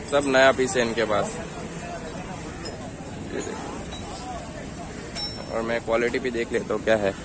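A young man from India talks casually into his handheld phone while he walks through a busy outdoor street market. His voice sits at an average pitch, and he chats along as he haggles with the sellers. Loud market noise and passing traffic come through clearly behind him. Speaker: vlogger. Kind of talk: casual walking market vlog. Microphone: handheld phone camera. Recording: noisy outdoor street recording. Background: crowd chatter and passing traffic.

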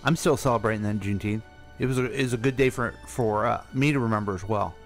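A man's voice: fairly low pitch, fast, soft background music. An American man co-hosting a radio show in casual, joking banter, often repeating his words. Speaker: radio co-host.

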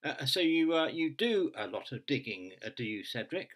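Guy as a polite radio call-in host, stammery and hesitant, lively pitch, highish. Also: normal speed. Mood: bemused, courteous. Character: polite, faltering male radio phone-in host.

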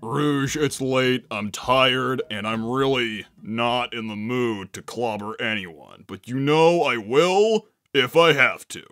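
A man playing a gruff, weary and irritable young echidna guardian in a fan-made cartoon. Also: medium pitch, slow, hardly any pauses, a bit bright, dry recording. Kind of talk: fan-made animated series voice acting.

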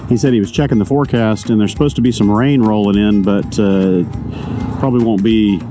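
A man riding a motorcycle and chatting casually to the camera through a helmet mic, rambling, loose and quick to swear and chuckle. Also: lowish voice, steady tone. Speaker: motorcycle vlogger. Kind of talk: casual ride commentary. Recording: music playing under the voice.

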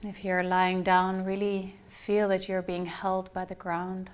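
A woman leading a guided meditation as an instructor, speaking in a soothing, unhurried, gently instructing way. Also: mid-range voice, monotone, clean tone.

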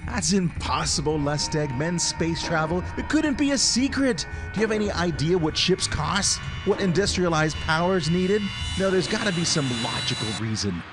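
A man acts out a stern spaceship captain in a radio drama. His voice is fairly high and swings widely in pitch as he speaks with urgent command, with music behind him. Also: big pitch swings, normal speed, few pauses. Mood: baffled, insistent.

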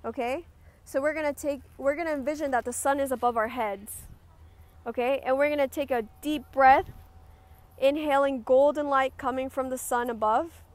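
A woman leading a group guided meditation, giving gentle, instructive cues. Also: sits high, steady tone, normal speed. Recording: clear background noise, ambient sound.

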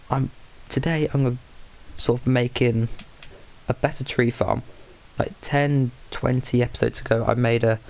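A man's voice: medium pitch, vocal fry, a little mellow. A man with a blocked, stuffy nose giving casual, rambling commentary while he plays a game, often correcting himself and muttering to himself. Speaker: gaming channel host.

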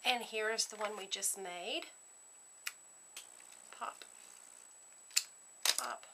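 A woman giving a casual step-by-step craft demonstration at her worktable, chatting in a relaxed way while working with her hands. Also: mid-range voice, extremely slow, creaky, noisy background. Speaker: craft tutorial host.

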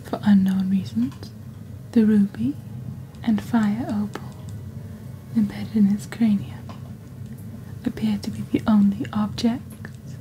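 A young woman speaking softly and breathily in a scripted ASMR roleplay, slow, deliberate and eerily formal, as the host of a shopping channel. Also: mid-range voice, fairly even intonation, a little hiss or hum, low-quality recording. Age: young adult. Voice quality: soft, breathy, whispery. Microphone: close studio microphone on desk.

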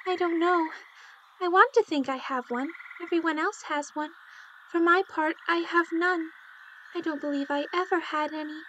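A woman playing a timid eight-year-old servant girl in a radio drama, polite, halting and deferential. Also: very high voice, sharp tone, highs cut off, a little hiss or hum. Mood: meek.

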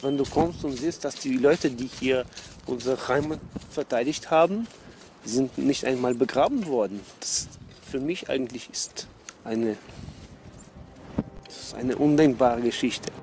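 A man speaking unscripted in an interview, earnest and halting, repeating words as he searches. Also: medium pitch, noisy background. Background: music.

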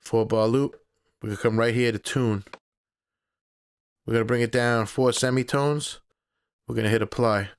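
A man presenting a casual step-by-step software tutorial in a plainspoken, informal, instructional way. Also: medium pitch, fairly even intonation, normal speed, long pauses, smooth.

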